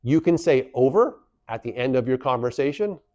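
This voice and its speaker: Low-voiced man giving instructions. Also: animated, normal speed, dark tone, dry recording, dull audio. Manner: plain, step-by-step, repeats key points for emphasis. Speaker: instructional video presenter for a community association. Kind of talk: instructional how-to explanation.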